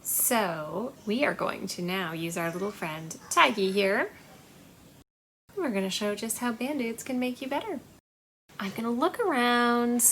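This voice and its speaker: A woman hosting a storytime for young children, speaking in a deliberate, singsong way. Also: mid-range voice, a bit bright, slight background noise. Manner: singsong, deliberate, repetitive phrasing for small children. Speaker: children's library storytime host. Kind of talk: storytime read-aloud and simple activity for young children.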